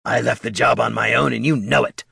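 Man voicing a scrappy, raised-pitch kobold. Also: lively intonation, fairly quick, pushed and bright, no room sound, clean studio sound, heavily acted. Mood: irritated. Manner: colloquial, gruff, growling when riled. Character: scrappy small male kobold, plain-spoken, proud, prickly. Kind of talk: audiobook character voice.

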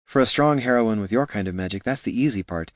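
A man voicing a weary veteran female elf ranger who gives calm, wry advice in a storybook reading. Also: lowish voice, fast talker, dry recording, natural voice. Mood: matter-of-fact.

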